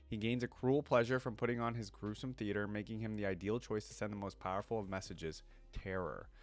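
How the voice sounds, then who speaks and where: A man's voice: on the low side, fairly even intonation, a little fast, soft background music. A man hosting a reaction video, commenting casually and reading text aloud as he goes. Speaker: host of a reaction channel.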